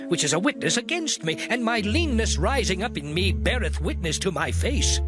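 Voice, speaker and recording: An actor playing an anguished, suffering man lamenting in a dramatized Bible audio drama, declaiming mournfully in old King James English. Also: high voice. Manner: declamatory, mournful, sweeping delivery with long pauses. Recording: music behind the voice. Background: dramatic music.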